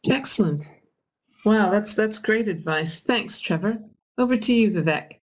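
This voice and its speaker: A woman's voice: mid-range voice, animated, a little slow, low-quality recording. A woman moderating a panel discussion, upbeat, thanking speakers and passing the floor with questions. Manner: upbeat, brief thanks and handovers.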